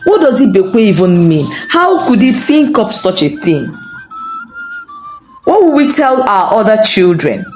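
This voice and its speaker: A woman's voice: a little high, big pitch swings, measured pace, a little mellow, echoey room, faint music behind, voice pushed up. A woman storyteller voicing a distressed pregnant wife in a folktale, emotional and full of indignant questioning. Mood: anguished.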